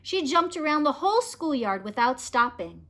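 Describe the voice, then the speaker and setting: A woman narrating a children's picture book as a read-aloud, in an expressive, measured storytelling manner. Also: high-pitched.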